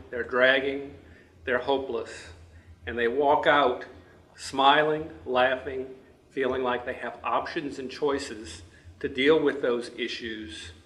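A man in his fifties, a counselor and manager, giving a prepared interview in measured, deliberate phrases. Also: mid-range voice, bright, forceful tone. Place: office indoors. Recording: echoey office room.

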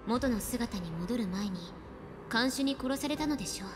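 An actress playing a calm young woman physician in a Japanese video game, speaking politely and in measured, formal phrases. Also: a little high, close to an everyday voice. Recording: loud game music underneath. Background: game music.

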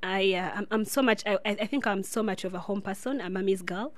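A woman's voice: medium pitch, quick pace. A young woman from Uganda, a guest in a radio studio, talking in a chatty, rambling way with many fillers. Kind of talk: casual radio interview. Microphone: studio boom mic.

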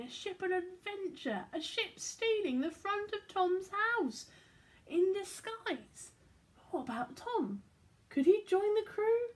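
A woman in her twenties reading a children's story aloud expressively, shifting between hushed and excited delivery. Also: very high voice. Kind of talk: animated picture-book read-aloud. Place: small domestic room indoors.

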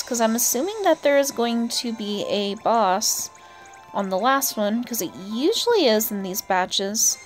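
A woman giving casual, chatty gameplay commentary as a player and channel host, often talking to herself and to the game's characters. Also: a little high. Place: indoors, voice-over on gameplay with game music behind.

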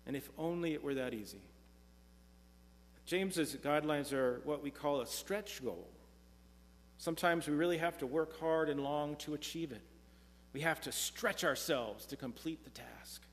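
A middle-aged man preaching a sermon from a pulpit, earnest and measured, slowing down when reading scripture. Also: a little high. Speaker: pastor. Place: church sanctuary, speaking from a pulpit. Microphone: pulpit mic, far camera. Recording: long church reverb.

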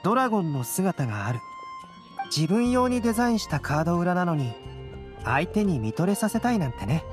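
A woman voicing a composed, quietly amused young male alchemist in a Japanese anime game. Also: fairly low pitch, big pitch swings, fairly quick, over music. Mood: calm, amused.

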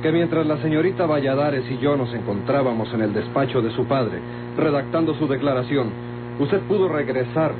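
An actor with a Mexican accent playing a calm, suspicious lawman, formal and pointed, in a vintage radio drama. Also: mid-range voice, lightly acted. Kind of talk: Mexican golden-age radio drama acting. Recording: muffled vintage radio, loud hum and noise.

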